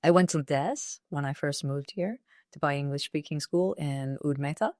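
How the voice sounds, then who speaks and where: A woman's voice: sits low, moderate pace, no room sound, clean studio sound. A woman in her thirties, a radio presenter whose first language is Italian, chatting and telling stories as a podcast guest. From Italy. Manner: chatty, animated storyteller. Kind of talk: casual interview chat.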